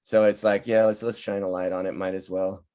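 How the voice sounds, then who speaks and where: A man's voice: deep voice, little pitch movement, brisk, warm tone, clear, resonant tone. A man speaking as a playwright in a casual, informal discussion over a video call. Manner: informal, laughs often. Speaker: playwright taking part in a table read. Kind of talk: casual discussion, explaining the work. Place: virtual table read over video call.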